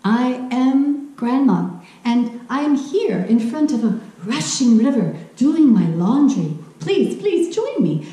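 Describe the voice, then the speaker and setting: A middle-aged woman playing a kindly, excitable Japanese grandmother, telling a children's story theatrically and addressing the audience. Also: sits high, takes it slowly, full character voice. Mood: cheerful, instructive. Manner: exclamatory, animated, addressing the audience directly. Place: living room at home. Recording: very echoey room, faint music.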